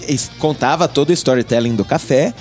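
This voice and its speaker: A man's voice: medium pitch, a little fast, soft background music. A man co-hosting a podcast, telling jokey stories in casual chat. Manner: animated, jokey storyteller. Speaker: podcast co-host.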